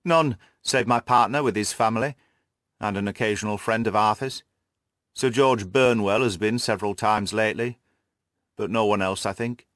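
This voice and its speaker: A man's voice: lowish voice, moderate pace, a bit bright, no room sound, studio quality, close to an everyday voice. A man voicing an anguished older banker, a dignified and despairing father, in an emotional, lamenting audiobook performance. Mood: subdued. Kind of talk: audiobook character acting.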